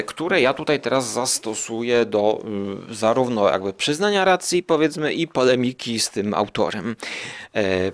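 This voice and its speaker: A man's voice: fairly low pitch, a bit bright. A man hosting a podcast solo in a casual, rambling, conversational way, often correcting himself. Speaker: podcast host. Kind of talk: casual solo podcast talk with poem reading.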